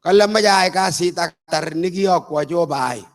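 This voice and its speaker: An older man from Uganda, an apostle, preaching a sermon in slow, deliberate phrases. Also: high voice.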